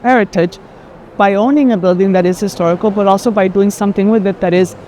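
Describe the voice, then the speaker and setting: A woman in casual conversation as a guest at an art fair, telling stories in an animated way with emphatic pauses. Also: mid-range voice. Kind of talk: casual chat. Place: art fair, walking tour. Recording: noisy busy fair hall ambience.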